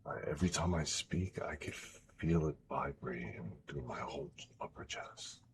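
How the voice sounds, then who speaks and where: A young man with a very low baritone, talking to his live-stream chat in a blunt, profane, offhand way. His voice is strained and sore from recent throat surgery, and now and then it thins out to a whisper. A faint hum of noise sits under him. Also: normal speed, creaky.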